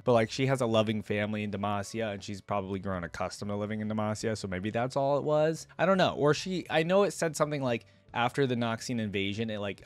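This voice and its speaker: A man's voice: mid-range voice, dry recording. A man, giving casual, rambling reaction commentary. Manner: rambling, musing. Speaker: reaction video host. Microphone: close desk microphone, headset on.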